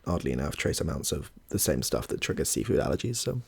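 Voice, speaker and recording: Man acting husky, gravelly, low-voiced ASMR clinician. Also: big pitch swings, fast, a little mellow, heavily acted. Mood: attentive, mildly flustered. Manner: hesitant, rambling, reassuring, murmurs to himself. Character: chatty, affable male medical intake clinician with a questionnaire. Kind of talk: soft-spoken ASMR roleplay.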